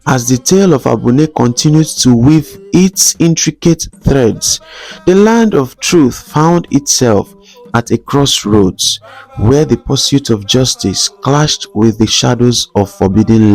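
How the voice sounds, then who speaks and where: Slow, solemn male storyteller over music. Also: medium pitch, gravelly, a little mellow, rough recording. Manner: solemn, dramatic, measured phrasing. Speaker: storyteller narrator. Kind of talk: scripted folktale narration. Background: background music.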